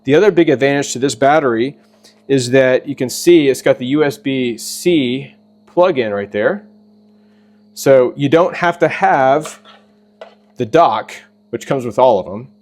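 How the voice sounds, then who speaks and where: A man hosting a casual product walkthrough, talking in an informal, halting and rambling way. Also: medium pitch, a little mellow. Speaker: host of a preparedness channel.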